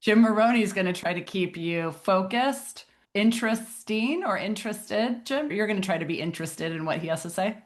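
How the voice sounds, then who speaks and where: A woman's voice: medium pitch, animated, normal speed. A woman hosting a podcast, teasing her guests and asking playful questions. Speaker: podcast host. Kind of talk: podcast chat.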